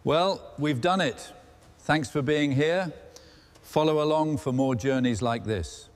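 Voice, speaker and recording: A man hosting and narrating a restoration in a scripted, calm workshop commentary style. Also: fairly high pitch, slow pace. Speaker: host narrating a motorcycle restoration. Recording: long hall-like reverb.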